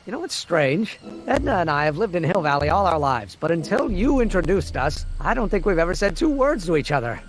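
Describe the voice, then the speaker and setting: An actor playing an earnest, excitable young male scientist in a video game, with a wordy, precise, bookish way of talking. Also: high voice, a little fast, hardly any pauses, low-quality recording. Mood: earnest, bothered. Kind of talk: animated video game voice acting. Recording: music under the voice.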